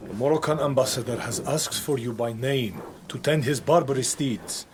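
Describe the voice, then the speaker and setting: A man's voice: medium pitch, normal speed, noisy background, natural voice. An actor playing a persuasive Moorish embassy man in a period radio drama, earnest, formal and pleading. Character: urgent, persuasive Moorish envoy's man, loyal friend.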